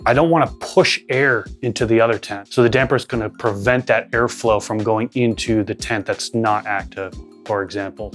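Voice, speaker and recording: A man with a Boston accent talks at an ordinary mid pitch while he walks through his grow tent gear. He explains things step by step in an easygoing, conversational way. Soft music plays faintly behind him. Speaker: grow channel host. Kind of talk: casual equipment walkthrough.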